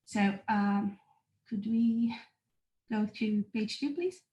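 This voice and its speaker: A woman from Portugal with a Portuguese accent, presenting in a webinar at a measured pace with frequent hesitations. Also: fairly high pitch, dark tone, roomy sound, highs cut off. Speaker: university international relations officer presenting.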